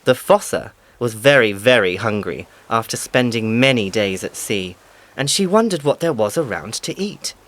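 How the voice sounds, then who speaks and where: Lively male storyteller. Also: mid-range voice, moderate pace. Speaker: narrator. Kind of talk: scripted storybook narration.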